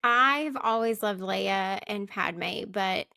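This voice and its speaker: A soft-spoken woman hosting a casual interview, chatty and full of "like" fillers. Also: mid-range voice, slow, creaky, no room sound, studio quality. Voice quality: soft-spoken, not loud.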